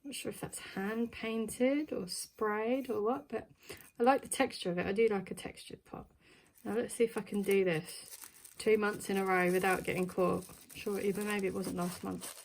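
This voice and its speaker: A woman's voice: medium pitch, steady tone, moderate pace, creaky, rough recording. A woman chatting casually while unboxing a plant subscription box, thinking aloud with plenty of ums. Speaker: plant vlogger.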